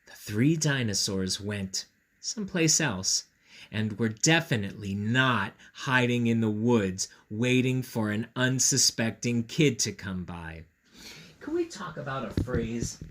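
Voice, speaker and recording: A man reading a picture book aloud to children, playful and knowing, with chatty asides and dramatic pauses. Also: mid-range voice. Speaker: storyteller, school read-aloud host.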